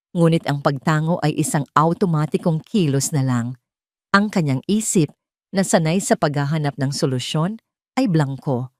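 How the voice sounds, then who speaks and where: A woman with a Filipino accent tells a scripted drama as its narrator. Her pitch sits in a narrow, low band for a woman, and the sound is very dry. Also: dry recording. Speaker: audio story narrator.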